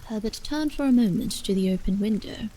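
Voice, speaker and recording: A woman reading a novel aloud as a bedtime ASMR story, soft and hushed, with some phrases trailing into a whisper. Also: medium pitch, a little fast, rough recording. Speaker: storyteller, reader. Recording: steady rain sound over the voice. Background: rain.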